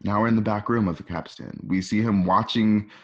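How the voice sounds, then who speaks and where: A man with a fairly low voice narrates a radio play. He reads out scripted stage directions, setting each scene in the present tense. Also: fairly even intonation, brisk, muffled recording.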